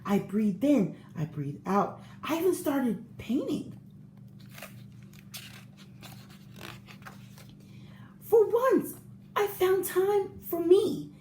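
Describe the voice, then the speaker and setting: An earnest, eager-to-please storybook egg, acted out by a woman in a high, very animated voice. The delivery is theatrical and expressive, as if telling its own tale to children. The room adds a noticeable echo. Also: slow, heavily acted. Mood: reflective. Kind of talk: children's picture book read-aloud, first-person storytelling. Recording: roomy echo with faint background noise.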